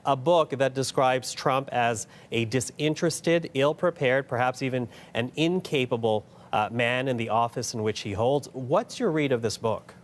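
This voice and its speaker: A man's voice: medium pitch, moderate pace, hardly any pauses. A man hosting a broadcast news panel, introducing and questioning guests in a presenter's style. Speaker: news program host.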